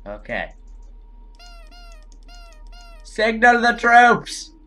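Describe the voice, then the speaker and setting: Young male gamer, highly animated swings in pitch, bright edge, dramatic complaining let's play delivery. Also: slow pace. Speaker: video game player and host. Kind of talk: horror game let's play commentary. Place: indoor room at a computer, on webcam.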